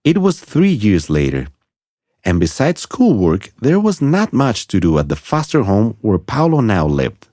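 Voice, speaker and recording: A man's voice: lowish voice, huge pitch swings, a little slow, warm tone, no room sound. A man reading a scripted children's audiobook story as narrator.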